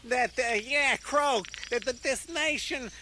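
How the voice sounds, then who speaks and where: A man playing a doddering, forgetful Abraham Lincoln in comic radio theater, giving a halting, flustered speech full of throat-clearing. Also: very high-pitched, pushed and bright, a little hiss or hum, exaggerated voice.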